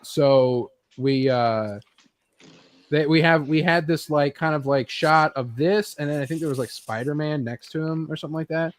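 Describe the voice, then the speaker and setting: A man hosting a casual pop-culture panel, talking in a rambling, halting way and swearing freely. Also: on the high side, no echo. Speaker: host of a panel show.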